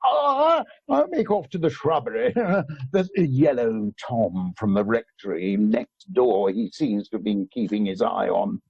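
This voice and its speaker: A man acting a genial, dithering upper-class gentleman in an amateur radio play, hesitant and old-fashioned, over a muffled video call. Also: medium pitch, animated, a little slow, vocal fry, slightly rough, no echo, heavily acted. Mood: genial, doubtful.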